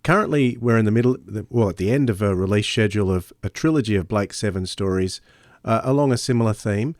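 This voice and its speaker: A man's voice: fairly low pitch, fast, darkish tone, dry recording. Dry, close-sounding male podcast host. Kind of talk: podcast introduction and chat.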